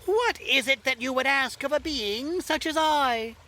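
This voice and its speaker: Swooping, whimsical, mischievous elf. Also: female voice, sits high, lively intonation, a little slow, sharp tone, full character voice. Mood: teasing, coy. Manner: giggly, playful rhyming, old-fashioned grand phrasing. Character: whimsical, mischievous, kindly elf with theatrical flourish. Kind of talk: whimsical audio drama acting.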